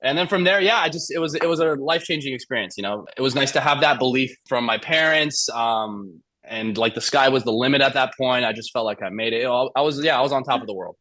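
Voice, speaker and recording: Young male actor guest, relaxed rambling delivery, average pitch, close and dry. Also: fast, dry recording. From Iran. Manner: rambling, many fillers and restarts. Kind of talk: casual interview storytelling.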